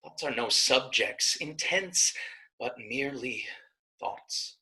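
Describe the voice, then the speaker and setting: A man's voice: mid-range voice, expressive, gravelly, pushed and bright, heavily acted, rough recording. A man playing a grave, principled young woman who speaks earnestly in a Shakespearean stage reading. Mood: earnest, reasoning. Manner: deliberate, earnest.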